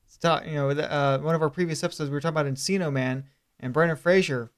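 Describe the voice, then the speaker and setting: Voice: medium pitch, steady tone, quick pace. A podcast co-host who riffs and jokes in casual, comedic conversation. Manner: joking, riffing.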